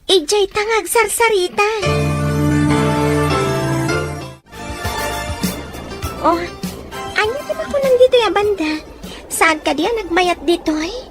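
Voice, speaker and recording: A woman's voice: extremely high pitch, animated, moderate pace, over music. A woman playing a poor, resilient young orphan in an audio drama, plain-spoken and caring, with a northern Philippine accent. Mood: concerned, curious. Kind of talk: acted audio drama dialogue. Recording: faint background noise.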